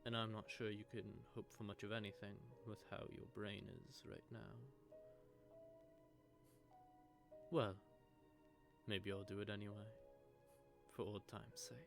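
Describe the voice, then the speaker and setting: A sardonic male vampire acted by a man speaks in a low voice over prominent music. He taunts and teases his prey in a drawling, intimate monologue, menacing but secretly sentimental. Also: level tone, normal speed, close to an everyday voice. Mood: resigned, wry. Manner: teasing, taunting, drawling menace with soft asides. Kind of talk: scripted intimate audio roleplay monologue.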